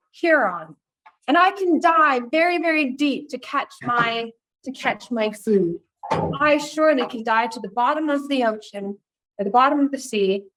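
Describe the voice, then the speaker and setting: A woman's voice: sits high, fairly bright tone, rough recording. A woman voicing a proud male diving bird in a told folktale.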